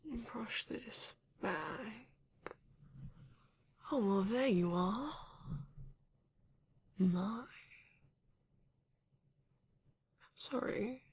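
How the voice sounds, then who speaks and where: A woman playing a flirty, courteous vampire in an ASMR romance roleplay, breathy and often dropping to a whisper, with genteel, teasing phrasing. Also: mid-range voice, heavily acted. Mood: tender, flirtatious. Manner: slow, genteel, teasing, old-fashioned wording. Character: flirty, courteous vampire living alone in a castle.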